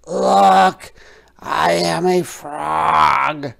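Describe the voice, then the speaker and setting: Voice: mid-range voice, steady tone, drawn-out words, gravelly, a bit bright, voice pushed up, exaggerated voice. A storybook reader voicing a proud, boastful young frog with a triumphant, mysterious, emphatic delivery. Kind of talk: children's storybook character voice.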